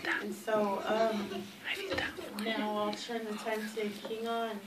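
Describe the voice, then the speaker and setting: A woman wrapping up a family reunion with loud, heartfelt, halting remarks full of fillers. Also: medium pitch, monotone. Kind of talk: emotional closing remarks. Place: large room at family gathering. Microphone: distant from recorder. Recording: echoey room, distant, loud noise.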